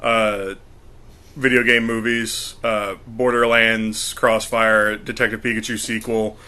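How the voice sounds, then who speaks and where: A man's voice: lowish voice, flat delivery, slow, pushed and bright, clear, resonant tone. A man co-hosting a podcast in casual group discussion, talking in a rambling, hesitant way. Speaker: podcast co-host.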